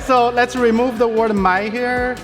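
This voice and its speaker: High-voiced man demoing on a big stage. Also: steady tone, measured pace. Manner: playful showman, joking with audience. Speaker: software demo presenter. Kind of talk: live on-stage product demo. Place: large event hall on stage. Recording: hall reverb with live crowd. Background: music and audience reactions.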